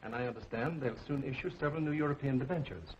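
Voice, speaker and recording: An actor playing a businesslike financial informant in a 1930s film, speaking briskly and formally. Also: male voice, mid-range voice, natural voice. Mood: brisk, matter-of-fact. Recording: dull, noisy old film soundtrack.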